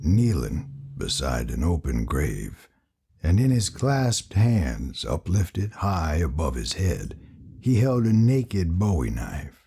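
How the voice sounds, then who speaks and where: Male audiobook narrator, theatrical and grave, very husky, with swooping low pitch. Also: sing-song, slow, mellow. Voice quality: raspy. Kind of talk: dramatic scripted storytelling narration.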